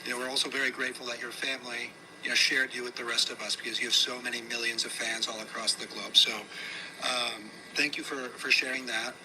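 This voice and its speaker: A man interviewing a guest before a room audience in a casual, flattering, chatty way. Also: medium pitch, quick pace. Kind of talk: onstage conversational interview. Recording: noisy room ambience.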